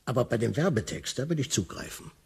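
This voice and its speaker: Bossy practical dad, creaky rough voice, emphatic, radio drama. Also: male voice, mid-range voice, expressive, quick pace, a little mellow, full character voice. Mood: blunt, urging. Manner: blunt, emphatic. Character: pushy, practical father urging his grown son. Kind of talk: German radio play acting.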